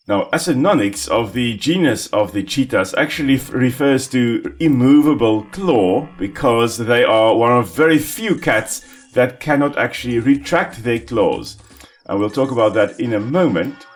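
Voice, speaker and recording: A man, an Afrikaans speaker, calmly explaining ecology while playing a simulation game, with small restarts. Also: mid-range voice. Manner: explanatory, calm, with small self-corrections. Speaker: ecologist gaming host. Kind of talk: educational let's-play commentary.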